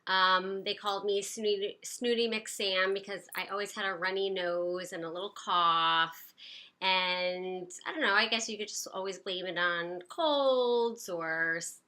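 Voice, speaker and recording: Bright, chatty woman in her late thirties. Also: mid-range voice, fairly even intonation, a little slow. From United States. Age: 30s. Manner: upbeat, chatty, many fillers. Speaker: personal storyteller. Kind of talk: casual personal storytelling to camera.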